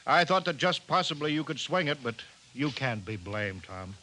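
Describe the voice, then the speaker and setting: A man playing an earnest, folksy village mayor with a sheepish, halting manner in 1950s radio drama. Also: medium pitch, sing-song, pushed and bright. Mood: resigned, kindly. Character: earnest, apologetic village mayor of a peaceful colony.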